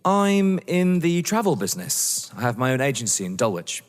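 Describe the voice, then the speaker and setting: An actor playing a young English lover, earnest, polite, proud and defensive, in a theatrical audio drama. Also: male voice, mid-range voice, sing-song, normal speed, natural voice. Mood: eager, proud. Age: young adult. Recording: echoey hall-like reverb.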